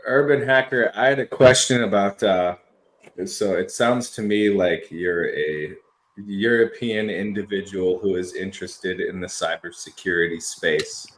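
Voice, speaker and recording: Deep-voiced man, echoey room. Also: unhurried, fairly bright tone, slight background noise. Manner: loose, hesitant phrasing. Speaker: podcast host. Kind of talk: casual interview questions.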